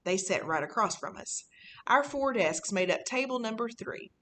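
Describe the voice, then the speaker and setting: A woman's voice: a little high, animated, normal speed, a bit bright, slight background noise. A woman reading a children's book in the voice of a sneaky, boastful fifth-grade boy, telling his story casually and conspiratorially. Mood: impressed, pleased. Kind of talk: first-person children's audiobook reading.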